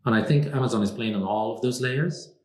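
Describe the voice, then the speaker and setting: A man originally from Morocco, speaking as a podcast guest in a measured, thoughtful way with frequent pauses. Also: mid-range voice, a little mellow, echoey room. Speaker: podcast guest, strategy consultant. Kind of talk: conversational interview.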